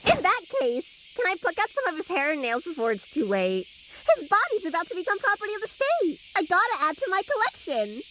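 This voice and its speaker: Woman as an eager, high-pitched anime fangirl. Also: very animated, a little fast, sharp tone, a little hiss or hum, full character voice, poor audio. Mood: eager, morbid. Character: eager, morbid teenage girl fan and collector. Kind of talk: anime fandub acting.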